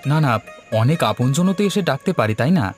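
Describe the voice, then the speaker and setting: A man's voice: medium pitch, expressive, a little fast, over music, lightly acted. A man voicing a curious son in a cartoon, questioning and impressed by scary stories. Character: curious son listening to mother's ghost stories. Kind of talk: animated story dialogue.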